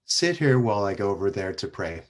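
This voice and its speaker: A man reading the part of Jesus in a church passion play, solemn and measured. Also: on the low side, big pitch swings. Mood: grave. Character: Jesus, grave calm male teacher. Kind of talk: dramatic scripture reading. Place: church sanctuary.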